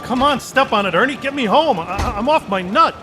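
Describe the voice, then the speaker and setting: A man's voice: very high-pitched, animated, fairly quick, sharp tone, slight background noise, exaggerated voice. An actor playing a desperate, frantic grown man whose family has gone missing, stammering in a radio play.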